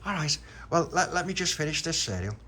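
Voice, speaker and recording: A man's voice: a little high, big pitch swings, fairly quick, bright, forceful tone, slight background noise, pitched above the performer's own voice. A man voicing an obliging young girl in a storybook. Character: agreeable young girl, ready to play.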